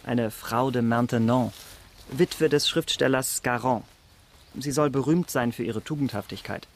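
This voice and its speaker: A man's voice: mid-range voice, close to an everyday voice. Courteous, guarded priest, played by a man. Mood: conversational. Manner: polite, measured, formal. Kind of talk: German radio drama acting.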